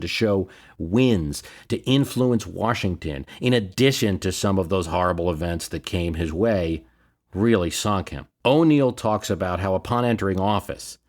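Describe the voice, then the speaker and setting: A man's voice: lowish voice, darkish tone. A man hosting a history podcast, talking through political history in a conversational, emphatic way with rhetorical questions.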